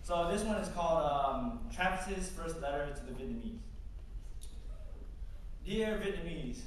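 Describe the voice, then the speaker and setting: A man's voice: fairly high pitch, expressive, measured pace. A young man performing spoken word poetry on stage at a campus event, with a rhythmic, rhyming delivery, punchy wordplay and casual joking asides to the crowd. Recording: echoey hall, distant mic, background noise. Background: audience ambience and laughter.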